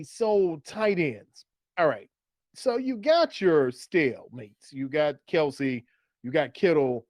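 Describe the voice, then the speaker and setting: A man's voice: on the high side, darkish tone, no echo, clean studio sound. A man hosting a casual sports chat in a colloquial, conversational way, repeating phrases for emphasis. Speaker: fantasy football podcast host. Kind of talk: casual sports chat, steering segments and questions.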